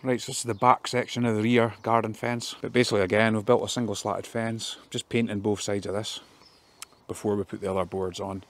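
Low, flat-voiced man in a garden. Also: fairly quick. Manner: plain, practical, with many ums. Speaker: fencing contractor. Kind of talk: casual work vlog walkthrough. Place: outdoors in a garden.

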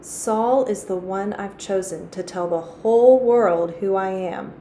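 A woman reading the part of Jesus in a storybook, an earnest voice from heaven, commanding yet gentle. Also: medium pitch, a little slow, natural voice. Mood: calm instruction. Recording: echoey room with faint noise.

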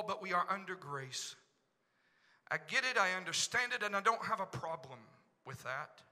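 A middle-aged pastor with an Oklahoma accent preaching into a handheld microphone in a large church sanctuary, dropping to a hush and then rising to shouts. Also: male voice, extremely high pitch. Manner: swings from hushed to shouting. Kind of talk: preaching a sermon. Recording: long hall reverb in church.

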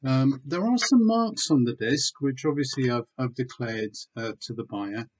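A man in his sixties from Wales, talking casually and hesitantly in a low-key, understated way as he presents a home vlog. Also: expressive, moderate pace, rough recording. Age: 60s. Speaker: online seller and video host. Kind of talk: casual vlog chat, showing items. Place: small room at home with bookshelves.

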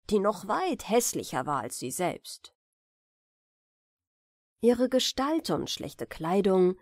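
Slow, high-voiced woman reading fairy tales. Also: darkish tone, no echo, studio quality. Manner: measured, careful storybook reading. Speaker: audiobook narrator. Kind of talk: expressive scripted fairy-tale narration for bedtime.

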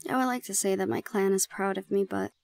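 A woman playing a shy, gentle young gorgon in an intimate audio roleplay, speaking softly and haltingly. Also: mid-range voice, steady tone, no echo, lightly acted. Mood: reflective, confiding. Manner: soft, hesitant, halting.

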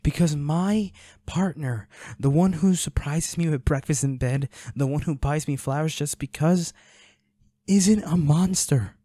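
A man's voice: a little high, a little slow, dark tone, no room sound. A man playing a protective, worried boyfriend in an intimate audio roleplay, speaking in a pleading, soothing, urgent way. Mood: tender, firm. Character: protective, worried boyfriend tending his injured werewolf partner.

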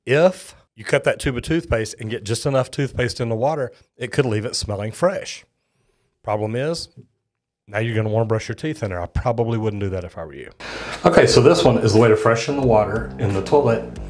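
A man's voice: medium pitch, brisk, a little mellow. A man, a plumber casually reacting to and trying out home hacks in a plainspoken, practical way. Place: studio set and a small restroom. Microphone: boom mic on desk and lapel mic.

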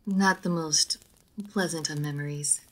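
A woman voicing a formal, guarded, melancholy aristocratic vampire in a roleplay audio drama, speaking in measured phrases to the listener. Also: sits low, fairly even intonation, a bit bright. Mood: cold, guarded.

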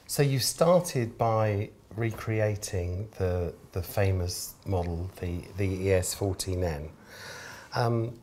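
A man's voice: deep voice, animated, takes it slowly, a little mellow, a little hiss or hum. A man in his sixties hosting an interview and putting questions to his guest. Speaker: interviewer, host. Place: studio set, seated indoors.

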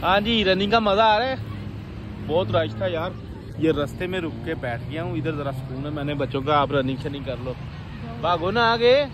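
A man's voice: fairly high pitch, animated, a little fast. A man in his thirties with a Pakistani accent, chatting casually to camera and to children as he films a family vlog on his phone. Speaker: family vlogger. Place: busy amusement park and outdoors. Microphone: phone at arm's length. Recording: noisy phone recording amid crowd clamour.

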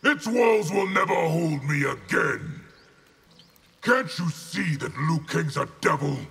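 Belligerent villain general in a game, harsh growly rasp, scornful, very animated. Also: male voice, on the high side, takes it slowly, exaggerated voice. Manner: scornful, forceful. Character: contemptuous, belligerent male villain warlord general. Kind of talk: video game character acting.

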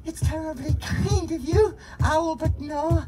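A woman voicing a small, clever brown mouse who bluffs bigger animals, in a high, eager storybook voice. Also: takes it slowly, over music. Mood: bluffing.

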